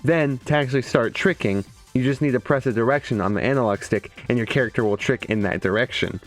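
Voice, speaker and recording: A man with a medium-pitched voice makes casual gaming commentary. He is sarcastic, jokey and freely profane. Music plays clearly behind him. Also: fast. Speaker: gaming video creator. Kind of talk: casual comedic game commentary. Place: indoors, streaming setup.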